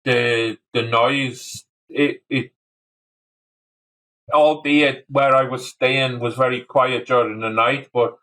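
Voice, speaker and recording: A man from North Wales, a podcast guest talking in a halting way and often repeating words as he thinks. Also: mid-range voice, fairly even intonation, pushed and bright, rough recording. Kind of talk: casual conversation.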